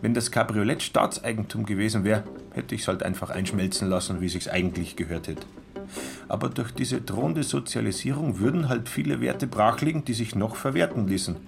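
An actor voicing a smug, colloquial petty-bourgeois swindler with an Austrian-Bavarian accent in a radio drama. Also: male voice, fairly low pitch, a little fast, creaky, over music. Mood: indignant, self-important. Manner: self-satisfied, colloquial. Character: smug small-time swindler, a petty-bourgeois man musing to himself.

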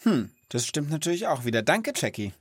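A man's voice: a little high, very animated, fairly quick, slightly rough, dry recording, studio quality. A man hosting a children's podcast in a casual, explanatory way, speaking thoughtfully and with empathy.

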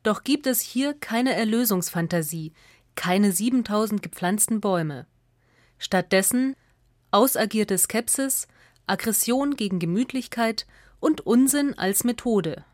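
A woman's voice: medium pitch, animated, measured pace, darkish tone, no echo. Woman reading a radio essay, dry studio. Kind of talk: scripted essay narration.

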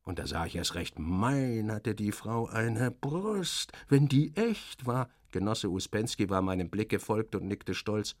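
A man reading a literary satire as a dramatic audiobook narrator, expressive and theatrical, acting out the dialogue. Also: on the low side, measured pace, hardly any pauses, no room sound, studio quality.

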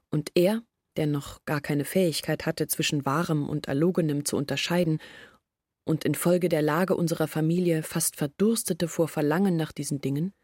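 An actress playing an earnest woman who confesses her family's failures in a reflective, measured monologue from a literary radio play. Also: deep voice, darkish tone, slightly rough, no echo, clean studio sound.

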